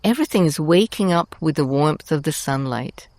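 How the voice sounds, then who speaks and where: A woman host narrating a scripted guided meditation in a soothing, deliberate manner, leaving long pauses between short phrases. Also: low-pitched, lively intonation, a little mellow, no room sound.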